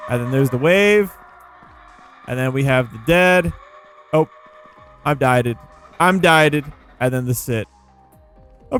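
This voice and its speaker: A man hosting a gaming video with casual, rambling commentary, playful and full of informal slang. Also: fairly high pitch. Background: background music.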